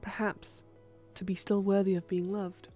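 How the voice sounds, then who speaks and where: A woman's voice: mid-range voice, level tone, moderate pace, mellow, soft background music, lightly acted. A woman voicing an old witch and necromancer, blunt, sardonic and crude-mouthed, in an audio drama. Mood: wry. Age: older.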